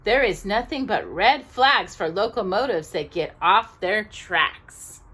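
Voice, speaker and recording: A woman's voice: medium pitch, animated, slow, fairly bright tone, husky, full character voice. A woman voicing a young, eager, easily frustrated locomotive in a storybook, with emphatic, exclamatory delivery. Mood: relieved, excited.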